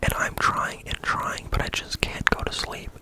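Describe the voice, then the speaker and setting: A storyteller voicing a sad, excited little boy who can't sleep, in a soft whisper. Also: moderate pace, natural voice. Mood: tearful.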